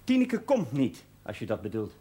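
An actor playing a genial, bossy husband hosting a party in a naturalistic 1970s TV drama. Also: male voice, medium pitch, wildly swooping pitch, moderate pace, mellow, slight background noise. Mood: busy, wry.

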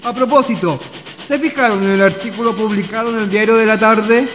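High, reverberant eager young man, acted by a man. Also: brisk, fairly bright tone. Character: eager young male writer reading news to friends. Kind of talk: radio drama acting. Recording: long reverb, faint noise.

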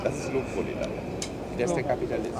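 Man chatting over very loud street noise. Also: medium pitch, steady tone, rapid-fire. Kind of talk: casual unscripted chat in a group. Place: outdoors in a busy urban spot. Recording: very noisy outdoor location recording with traffic. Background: loud street ambience, vehicles, birds.

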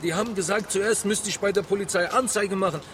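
A distressed, embittered taxi driver in a radio drama. His voice is high for a man, agitated and plaintive, with faint street noise behind it. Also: fast talker, a bit bright. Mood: dejected. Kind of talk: German radio drama dialogue.

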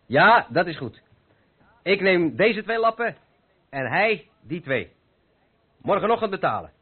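A man's voice: high-pitched, sing-song, a little slow, slightly rough, no room sound, exaggerated voice. A man acting in a radio play as an experienced, practical and uneasy truck driver. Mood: matter-of-fact. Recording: noisy phone-like band-limited old radio play recording.

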